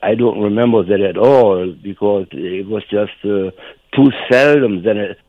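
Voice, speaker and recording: An elderly man from Germany with a German accent, reminiscing casually in a halting, rambling way and repeating himself. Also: on the low side, no room sound. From North Rhine-Westphalia, Germany. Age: 70s or older. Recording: tinny phone line.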